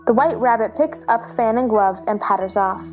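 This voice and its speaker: Woman narrating stage directions, slightly high voice, reading from a script. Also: normal speed, a little mellow, faint music behind.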